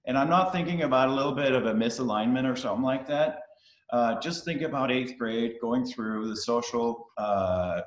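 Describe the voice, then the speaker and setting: A middle-aged man from Denmark who learned English as a second language gives a presentation over a video call. He speaks casually and haltingly, with frequent fillers and restarts. His voice sits in a middle range and carries a roomy echo from the call. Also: mid-range voice, muffled recording. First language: Danish. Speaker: guest speaker.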